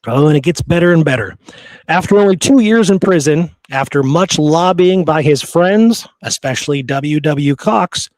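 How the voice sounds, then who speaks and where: A man's voice: fairly high pitch, expressive, moderate pace, darkish tone, no room sound, poor audio. A man hosting a history show, telling stories conversationally from his research notes with casual, amused asides.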